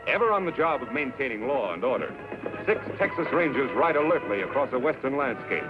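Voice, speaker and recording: A man's voice: medium pitch, big pitch swings, a little fast, music in the background. Dramatic, swooping American radio narrator, male. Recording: muffled old radio recording.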